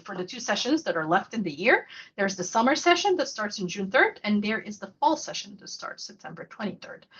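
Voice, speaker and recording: A woman's voice: medium pitch, fairly bright tone, husky. A woman walks listeners through a course in an online workshop. She talks in a chatty, loose way, with fillers and restarts. Her video call audio sounds a bit dull. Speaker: faculty mentor and local coordinator. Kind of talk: presenting a virtual workshop.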